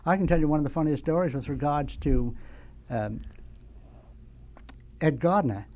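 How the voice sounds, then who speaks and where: An older American man, a veteran radio sound effects man, tells nostalgic anecdotes as a studio guest in a rambling, halting way. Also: fairly high pitch, lively intonation, a little mellow, dull audio.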